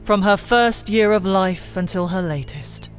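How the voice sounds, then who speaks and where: Slow, solemn woman storyteller over music. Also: mid-range voice. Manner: solemn, theatrical, deliberate phrasing. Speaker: narrator. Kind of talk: scripted dramatic storytelling, fantasy lore narration. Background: music bed.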